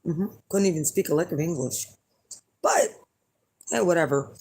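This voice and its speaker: A hoarse middle-aged woman chatting casually to her viewers as an astrology reader, rambling and blunt with lots of fillers. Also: low voice, normal speed, darkish tone. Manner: rambling and blunt, with frequent fillers and some swearing. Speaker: astrology reader and channel host.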